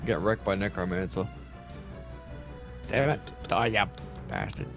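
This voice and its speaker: A man with a gravelly, fairly low voice, chatting on a gaming podcast. He reacts to the game with quick laughs and curses, with faint music in the background. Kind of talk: casual chat while gaming.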